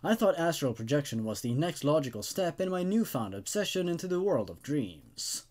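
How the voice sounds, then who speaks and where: A man's voice: a little high, big pitch swings, moderate pace, darkish tone. A man hosting a horror story show, moving between dramatic narration and casual review, with emphatic delivery. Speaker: host reading and reviewing horror stories.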